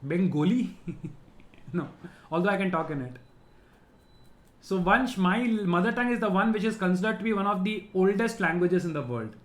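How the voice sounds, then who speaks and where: A man's voice: sits high, animated, normal speed, long pauses, roomy sound. A middle-aged man with an Indian English accent, a native Tamil speaker, running a live online quiz class. He repeats each question, explains terms patiently and laughs easily while answering students. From Tamil-speaking family, raised in Gujarat.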